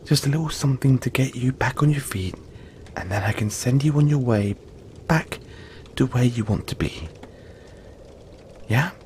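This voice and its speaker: A man voicing a gentle, friendly young yeti in an intimate audio roleplay, speaking softly and reassuringly, with halting, breathy phrasing. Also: medium pitch, a little hiss or hum, natural voice. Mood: friendly, earnest. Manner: soft-spoken, reassuring, halting, often breathy near-whisper.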